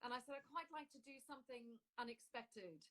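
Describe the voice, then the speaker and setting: A woman hosting a casual interview, chatty and animated, with self-deprecating asides. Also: a little high, brisk. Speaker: interview host and actress.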